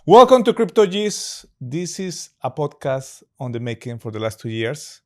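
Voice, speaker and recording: A man's voice: on the high side, sing-song, moderate pace, darkish tone. A man hosting a podcast interview, upbeat and chatty, with loosely phrased English that drops small words. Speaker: podcast host. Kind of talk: casual interview chat.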